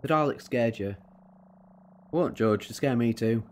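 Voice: medium pitch, unhurried, lightly acted. An eccentric time traveller from another world in a sci-fi audio drama, voiced by an actor. The delivery is wry and pedantic, yet earnest and persuasive, with a solemn streak. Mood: apologetic, earnest. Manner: wry, quibbling, turning solemn.

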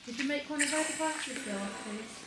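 A woman's voice: high-pitched, brisk. Young woman coaxing spirits at night. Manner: polite, coaxing questions to spirits. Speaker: paranormal investigator. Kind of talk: casual chat during a ghost hunt. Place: outdoors at an abandoned farm at night. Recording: echoey, loud outdoor noise.

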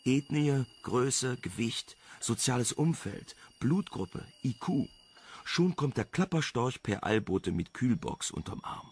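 A man's voice: mid-range voice, expressive, slow pace, darkish tone, husky, exaggerated voice. An actor playing a cheeky, flirtatious male reporter in a wry, teasing manner in a radio crime drama. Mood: smug, chatty.

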